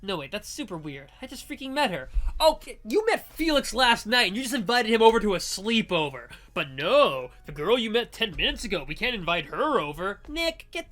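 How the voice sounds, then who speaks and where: Chatty woman with a bright voice. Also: medium pitch, very animated, normal speed, few pauses. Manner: chatty, rambling, jokey. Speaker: let's play host. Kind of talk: casual game commentary with character reading.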